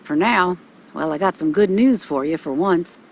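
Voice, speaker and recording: A woman's voice: on the low side, moderate pace, slight background noise, natural voice, low-quality recording. An actress playing a motherly older small-town policewoman in a video game, folksy and reassuring.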